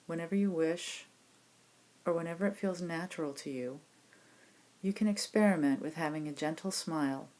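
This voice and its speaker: A woman meditation teacher giving guided body scan instructions in a gentle, unhurried, reassuring manner. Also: low-pitched, rough recording. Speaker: meditation teacher leading a class program.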